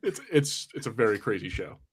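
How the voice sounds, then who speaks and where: A man's voice: fairly low pitch, very animated, brisk, husky. Casual, sweary man hosting a podcast. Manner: sweary, informal, joking. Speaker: podcast host. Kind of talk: casual banter on a film watch-party podcast.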